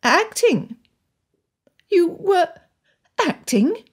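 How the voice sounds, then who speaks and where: A woman's voice: high voice, lively intonation, brisk, a little mellow, pitched above the performer's own voice. A woman voicing a headstrong, impulsive young girl of genteel birth, earnest and insistent, in a storybook reading. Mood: indignant disbelief. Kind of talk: dramatised audiobook dialogue.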